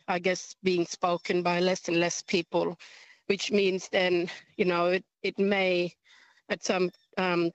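Halting, low-voiced woman. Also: no room sound, highs cut off. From northern Australia. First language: a local clan language. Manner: halting, many ums, thoughtful. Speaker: guest, language teacher. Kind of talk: radio interview.

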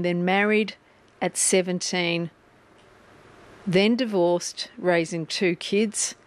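A woman, hosting a radio interview in an artist's studio, chatty and playful with her guest. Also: lowish voice. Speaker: radio presenter.

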